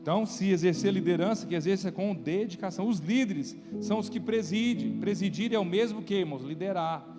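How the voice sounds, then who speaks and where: A man's voice: high-pitched, brisk, few pauses. A man with a Brazilian accent preaching to a congregation as a pastor, in a conversational way that checks in with listeners through rhetorical questions. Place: church, live-streamed service. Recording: reverberant hall, loud music underneath. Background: loud music.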